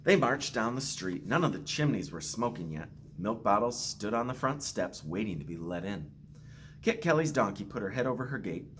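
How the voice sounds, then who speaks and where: A man's voice: lowish voice, very animated, moderate pace, fairly bright tone, a little hiss or hum. A man reading a children's storybook aloud expressively, with playful asides. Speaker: school staff member reading aloud to children.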